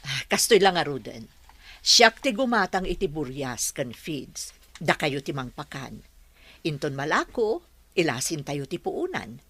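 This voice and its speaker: A woman playing a kindly, husky-voiced lady teacher in a radio drama. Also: low voice, big pitch swings, a little slow, fairly bright tone, no echo, heavily acted. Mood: helpful. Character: kindly lady teacher offering a business partnership.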